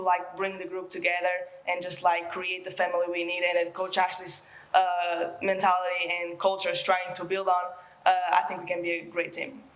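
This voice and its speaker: A woman's voice: lowish voice, a bit bright, echoey room, poor audio. A young woman college basketball player from Portugal, speaking English with a Portuguese accent, with many "like" fillers.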